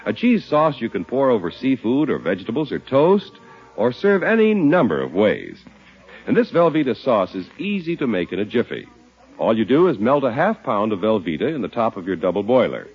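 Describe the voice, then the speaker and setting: A male 1950s radio announcer reading an advertisement with hugely animated intonation. His mid-pitched voice is a little husky, and the vintage recording is muffled. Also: normal speed, faint music behind.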